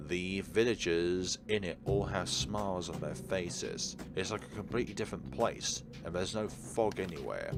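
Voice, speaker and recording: A man's voice: sits low, gravelly. A man playing a visual novel, reading its narration and dialogue aloud and musing casually as he goes. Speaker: let's player. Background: game background music.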